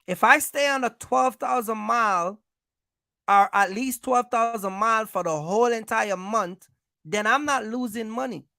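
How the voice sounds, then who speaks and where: Bright, creaky American livestream coach. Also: mid-range voice, normal speed, no echo, clean studio sound. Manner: emphatic, repetitive, checks in with listeners. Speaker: trucking business coach and livestream host. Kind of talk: informal livestream advice talk.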